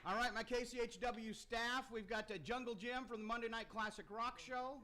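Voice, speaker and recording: A male radio host presents a live theater broadcast. His voice sits high for a man, and clear background noise runs through the studio recording. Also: fairly even intonation, normal speed. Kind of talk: live event hosting and rhymed radio play narration. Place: radio studio performance room.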